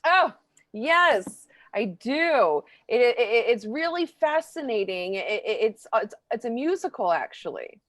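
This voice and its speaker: A woman's voice: fairly high pitch, big pitch swings, unhurried, fairly bright tone, no room sound, clean studio sound. A woman playing a bubbly, clueless small-town actress in an improvised game show parody, rambling and stammering. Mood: earnest, rambling. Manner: flustered, rambling with stammers and breathy exclamations.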